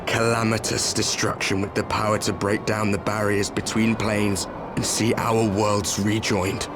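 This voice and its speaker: An actor playing a grim, weary warrior from a doomed world in a game cutscene, speaking in a solemn, measured and deliberate manner. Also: male voice, on the low side, level tone, close to an everyday voice. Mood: grave, resolute. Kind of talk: dramatic video game cutscene acting. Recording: loud music under the voice.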